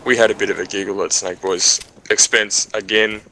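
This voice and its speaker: A man's voice: on the low side, steady tone, normal speed, sharp tone, a little hiss or hum, rough recording. A man bantering casually with friends on voice chat, teasing and repeating his phrases. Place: online voice chat.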